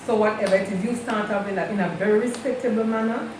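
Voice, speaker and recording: A middle-aged woman, a mother, telling family stories in a measured way and pausing to search for words. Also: medium pitch, steady tone, darkish tone. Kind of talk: casual storytelling. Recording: echoey room, distant mic, background noise.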